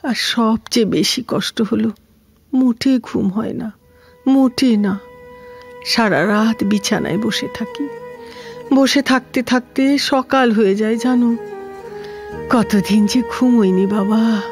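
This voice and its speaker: Plaintive old Kolkata housemaid, slow-spoken. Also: female voice, fairly high pitch, a little mellow. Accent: Kolkata Bengali. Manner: plaintive, rambling, homely and colloquial. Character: frail, lonely old former housemaid, talkative and affectionate. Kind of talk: Bengali audio drama acting. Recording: music behind the voice.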